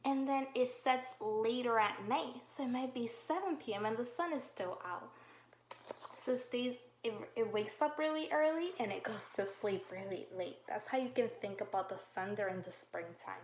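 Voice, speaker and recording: A woman teacher reading a picture book aloud to young children, slow and encouraging, with drawn-out questions to the class. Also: fairly high pitch, a little hiss or hum. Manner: slow, encouraging, exaggerated sing-song questioning. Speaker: kindergarten teacher. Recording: roomy echo.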